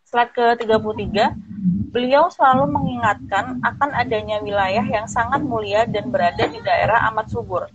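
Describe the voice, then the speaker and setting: A woman host with a slightly high voice reads slide text aloud in a steady, formal manner. Her sound is dull and lo-fi, as over an online call, with clear background noise behind her. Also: normal speed. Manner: steady formal read-through, brief acknowledgements.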